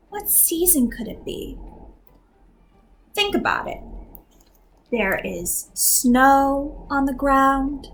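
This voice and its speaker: A young woman teacher reading a picture book aloud to young learners, slow and expressive, acting out the sound effects, then asking simple questions. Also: high voice, a little hiss or hum, rough recording.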